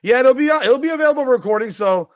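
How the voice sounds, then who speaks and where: A man in his forties hosting a casual sports podcast, ranting and cursing, his voice jumping higher whenever he gets worked up. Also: animated, rapid-fire, no room sound, highs cut off. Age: 40s. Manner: ranting and profane, voice jumping up in pitch when worked up. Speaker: podcast host. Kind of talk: casual sports talk livestream, reading chat comments.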